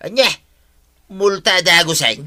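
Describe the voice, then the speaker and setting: Man acting brash village man, Ilocano accent, very bright tense voice, slightly high, exclamatory, radio comedy. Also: lively intonation, measured pace, exaggerated voice. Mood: incredulous.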